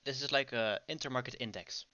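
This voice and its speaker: A man's voice: medium pitch, brisk, sharp tone. A man with a Dutch accent, casually teaching trading on a livestream and chatting with viewers, often checking in with "right?". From Netherlands. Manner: chatty, frequent ums and "right?" tags. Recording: dull lo-fi stream audio.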